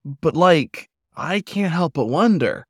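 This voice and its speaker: A man plays a nonbinary werewolf cook from an audio story, pitched up from his narrating voice. The cook is earnest and colloquial, explaining things with emphasis and drifting toward tangents. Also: lively intonation, takes it slowly, breathy edge, no room sound, clean studio sound, heavily acted. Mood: earnest, hesitant.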